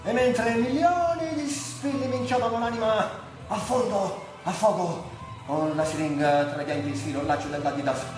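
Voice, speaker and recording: Echo-drenched, high-voiced tormented man played by an actor. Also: moderate pace, lightly acted. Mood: anguished, hallucinating. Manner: declamatory, swinging from hushed to shouting. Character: tormented, delirious man narrating his own death. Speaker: stage actor. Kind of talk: theatrical dramatic monologue for radio. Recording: very echoey, distant, music behind.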